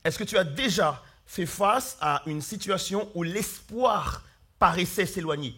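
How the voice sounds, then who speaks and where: A man preaching a sermon as pastor, hoarse with flu, earnestly calling out questions to his congregation. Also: high voice, animated, a little slow, sharp tone. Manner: earnest, calls out questions to the congregation, many fillers and restarts. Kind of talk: sermon with opening prayer. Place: church hall.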